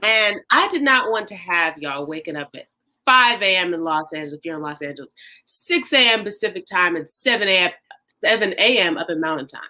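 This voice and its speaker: A woman's voice: fairly low pitch, lively intonation, bright, forceful tone. A woman hosting a casual podcast on a livestream, upbeat and chatty. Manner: upbeat, chatty, drawn-out emphasis. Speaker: podcast host.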